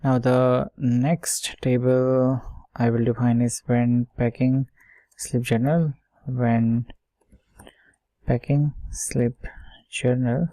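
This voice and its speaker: A man's voice: medium pitch, level tone, very slow, pauses often, warm tone, no echo, poor audio. A man giving an informal step-by-step screen-share coding tutorial, often checking in with short tags and muttering words as he types. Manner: explains step by step, often checking with "okay" and "right". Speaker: software tutorial instructor.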